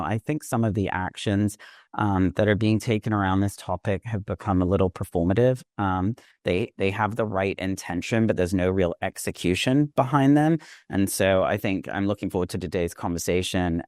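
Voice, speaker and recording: A man raised in Hong Kong speaks as an HR panelist with a very deep voice, heard close and dry over a call. He sounds chatty and self-deprecating, with lots of "um"s. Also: no echo. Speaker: HR advisor and panelist. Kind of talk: panel introduction.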